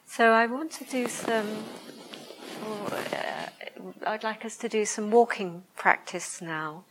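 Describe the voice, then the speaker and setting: Soft-voiced woman teaching meditation. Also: mid-range voice, slight background noise, rough recording. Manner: slow, hesitant, searching for words. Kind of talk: reflective dharma talk and guided meditation. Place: room with a listening group.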